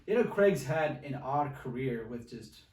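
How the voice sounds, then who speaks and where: A man's voice: mid-range voice, expressive, unhurried, a little mellow. Guy podcast host chatting casually about movies, echoey and a bit far from the mic. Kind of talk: casual film discussion. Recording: roomy, distant mic, faint noise.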